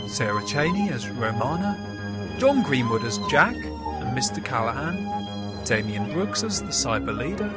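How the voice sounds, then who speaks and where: A man reads the closing credits in a measured, formal voice. His voice sits fairly high and moves lively. Loud music plays under him. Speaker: credits announcer. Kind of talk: reading end credits.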